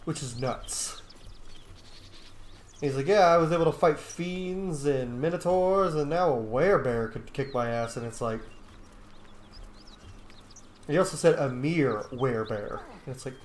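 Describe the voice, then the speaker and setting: A man's voice: mid-range voice, a little slow, pauses often, a little hiss or hum. A man giving casual, rambling, geeky commentary as he plays a video game. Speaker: gaming streamer, player. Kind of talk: casual let's play commentary. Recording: roomy echo, faint game music. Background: faint game music.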